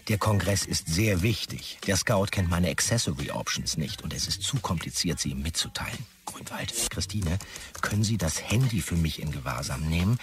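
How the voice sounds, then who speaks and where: An actor playing a self-important office employee, formal and measured, in an audio drama. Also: male voice, low voice, expressive, far from the mic.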